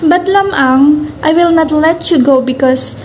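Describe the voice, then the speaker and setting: A protective, worried mother from a folk epic, acted by a woman. Her voice is quite high, in a readers' theater style, with faint noise behind it. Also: a little slow, a little mellow. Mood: concerned.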